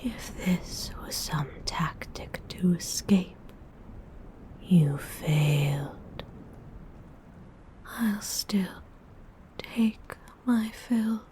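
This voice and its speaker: Haughty female vampire voiced by a woman, whispered, very slow and drawn out, low and mellow. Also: animated. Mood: disdainful. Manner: haughty, formal phrasing. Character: predatory female vampire, aloof and possessive. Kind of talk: ASMR role-play audio.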